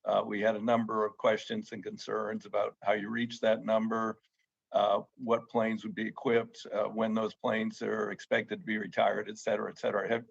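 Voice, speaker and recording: A man questioning witnesses at a formal hearing in a halting, informal way. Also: fairly low pitch, monotone, vocal fry. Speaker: committee member questioning witnesses. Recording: dull, lo-fi meeting audio.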